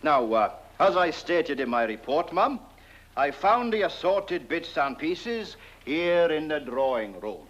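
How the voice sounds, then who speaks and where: A man's voice: on the high side, big pitch swings, measured pace, fairly bright tone. A man playing a bumbling, deferential British village constable, hesitant, rambling and chatty, in comic horror film acting. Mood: fussy, apologetic. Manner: hesitant, rambling, throat-clearing, polite. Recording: dull old film soundtrack, faint hiss.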